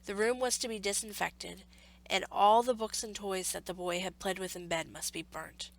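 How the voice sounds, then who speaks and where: A woman storyteller narrating a children's story in a measured, expressive read-aloud manner. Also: mid-range voice, rough recording.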